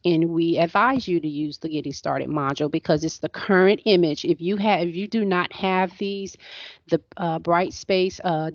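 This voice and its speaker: Female faculty trainer, hoarse-edged, chatty and repetitive; muffled, dry video call sound. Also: on the low side, darkish tone, dry recording. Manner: conversational, repeats words and restarts often. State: voice going hoarse, clearing throat. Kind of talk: screen-share training walkthrough. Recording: dull, dry lo-fi online session audio.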